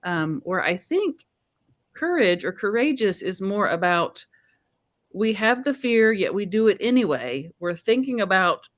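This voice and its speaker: A woman's voice: medium pitch, a bit bright, no echo. Chatty Southern woman hosting a video chat. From Oklahoma, USA. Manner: chatty, upbeat, laughs often. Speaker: show host.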